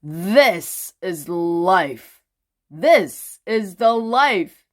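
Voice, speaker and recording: A woman voicing a hurried, excitable cartoon man in a children's storybook, with an expressive, exaggerated delivery. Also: lowish voice. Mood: blissful. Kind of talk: children's storybook read-aloud.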